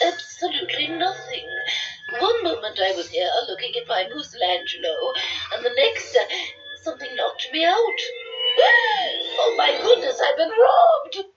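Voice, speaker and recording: A flustered, wealthy society lady from a cartoon storybook. The voice is high and fluttery, with dramatic swings. The recording is muffled, with music behind. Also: huge pitch swings, unhurried, distant mic, full character voice. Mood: horrified. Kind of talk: cartoon audio storybook acting.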